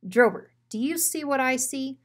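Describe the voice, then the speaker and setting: A woman's voice: sits high, animated, normal speed, voice pushed up. A cocky, bossy dog from a children's storybook, read by a woman. He gives orders with emphatic self-assurance. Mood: cocky, commanding.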